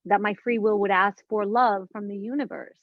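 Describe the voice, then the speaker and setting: A woman's voice: mid-range voice, steady tone, moderate pace, no echo. A woman of fifty in a casual spiritual conversation as a guest, earnest and searching, circling back over her words as she thinks aloud. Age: 50s. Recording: dull lo-fi dry recording.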